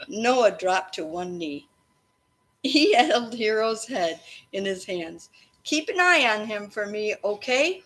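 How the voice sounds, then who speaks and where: A woman teacher reading a storybook aloud to children expressively, pausing to explain and prompt her listeners. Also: fairly high pitch, fairly bright tone. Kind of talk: interactive children's read-aloud of a storybook, with questions and asides. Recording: faint background noise.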